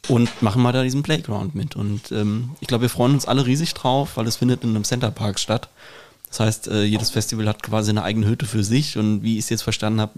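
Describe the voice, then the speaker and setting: Guy cohosting a podcast in a kitchen, lowish voice, feels his way thru sentences, bit wry, some noise behind him. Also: darkish tone. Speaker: podcast co-host. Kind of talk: casual chat. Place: kitchen at home. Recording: clear background noise, some clicks.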